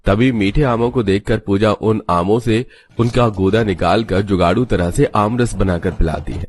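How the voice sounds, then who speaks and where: A man's voice: lowish voice, moderate pace, darkish tone, husky. A man reading a scripted family story as its narrator.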